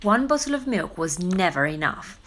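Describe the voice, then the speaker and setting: Animated, bright-voiced woman reading a children's story. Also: lowish voice, a little hiss or hum. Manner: dramatic, emphatic storytelling with drawn-out words. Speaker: storybook reader. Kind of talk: expressive children's story narration.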